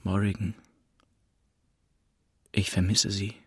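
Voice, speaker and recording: Slow, low-voiced sardonic male narrator. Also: level tone, breathy edge, dry recording, clean studio sound. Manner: sardonic, terse. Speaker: audiobook narrator. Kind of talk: scripted first-person narration as a jaded teenager. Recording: faint background noise.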